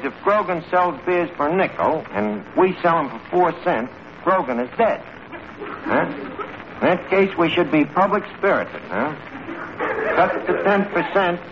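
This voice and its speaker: An actor playing a breezy, mock-learned New York tavern manager with mangled grammar, in 1940s radio comedy style. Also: male voice, high voice. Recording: noisy, muffled old radio broadcast, audience.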